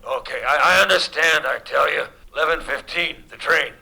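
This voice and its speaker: An actor playing a callous, gruff hired killer in an old-time radio thriller, halting and matter-of-fact, with a rough voice. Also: male voice, on the high side, bright, forceful tone, heavily acted. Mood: gruff, impatient. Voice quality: gravelly, rough. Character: callous hired killer, a gruff male underling taking orders.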